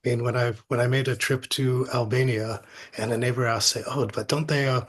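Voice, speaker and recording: Middle-aged man, thoughtful and halting. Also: mid-range voice, little pitch movement, a bit bright. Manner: thoughtful, halting, often repeats words. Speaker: academic researcher, interview guest. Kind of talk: reflective interview answers with anecdotes.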